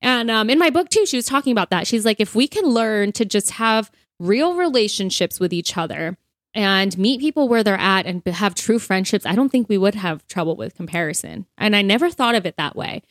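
A woman's voice: mid-range voice, animated, a little fast, no echo. Earnest American woman chatting, dry close sound. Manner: earnest, emphatic, informal with frequent "like". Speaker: podcast co-host. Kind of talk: casual conversational podcast talk.

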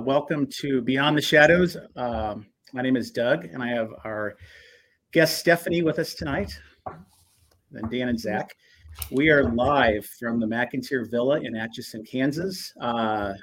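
Man, husky voice, casual upbeat host, halting delivery. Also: mid-range voice, a bit bright. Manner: upbeat, halting, many uhs. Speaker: show host. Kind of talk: casual livestream hosting.

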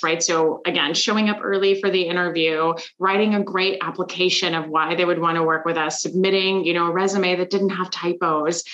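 A woman's voice: fairly low pitch, fairly bright tone, muffled recording. A woman business founder answering as an interview guest in an articulate, earnest, explanatory way.

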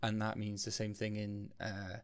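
A man's voice: on the low side, completely flat delivery, moderate pace, clear, resonant tone. A young man co-hosting a podcast, chatting casually, joking and swearing as he explains things. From Wales. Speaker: podcast co-host.

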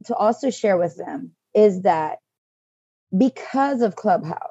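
A woman's voice: mid-range voice, slow, husky, no echo, highs cut off. A woman from the Virgin Islands, a podcast guest telling stories in casual chat and checking in with "right?". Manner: lively storyteller, tags lines with "right?".

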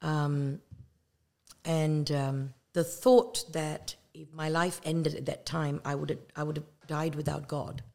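Low, gravelly-voiced earnest woman. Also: little pitch movement, a little mellow. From Malaysia. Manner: earnest and reflective, with pauses and fillers. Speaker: evangelist guest. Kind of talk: conversational testimony.

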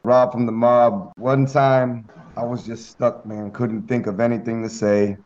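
A man being interviewed as a guest rapper, talking in a relaxed, easygoing way with lots of fillers. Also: medium pitch, a little slow. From United States. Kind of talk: casual interview on a conference call. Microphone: conference call line. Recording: dull lo-fi call audio.

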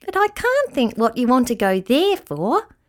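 Storybook rabbit, woman performer: proud and opinionated, high-pitched, very animated, haughty yet good-natured. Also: a little slow, full character voice. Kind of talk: children's storybook character voice.

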